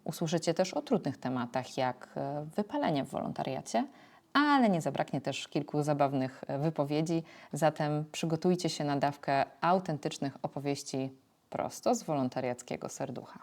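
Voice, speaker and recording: Low-voiced woman hosting a podcast. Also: moderate pace, a little mellow. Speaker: podcast host. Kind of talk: prepared narration introducing an episode.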